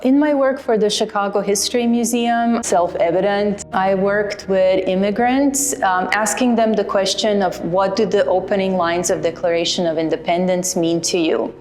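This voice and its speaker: A woman's voice: medium pitch, steady tone, moderate pace, hardly any pauses, a little mellow, soft background music. A woman from Croatia, an artist speaking thoughtfully and earnestly about her printmaking in a documentary interview. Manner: thoughtful, earnest, explains with care.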